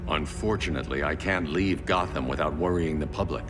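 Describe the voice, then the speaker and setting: An actor playing a stern, commanding masked vigilante leader in a video game, speaking tersely and with authority. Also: male voice, extremely low pitch, brisk, big-room sound, music in the background. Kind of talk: video game cutscene acting.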